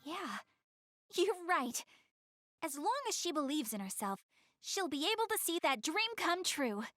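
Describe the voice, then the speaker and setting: Young goddess girl, anime game dub, high voice, animated intonation, proud but easily flustered, dry close recording. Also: a little slow, dry recording, soft background music, full character voice. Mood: earnest, hopeful. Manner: expressive, hesitant when covering up embarrassment. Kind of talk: anime game English dub, addressing the player directly.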